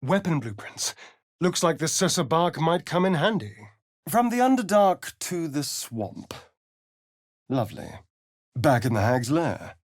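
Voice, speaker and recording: An actor playing a sly, vain vampire spawn rogue in a video game, speaking in an arch, theatrical drawl. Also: male voice, on the high side, very animated, a little slow, pauses often, no room sound, clean studio sound. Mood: amused, sardonic. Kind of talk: video game character voice acting.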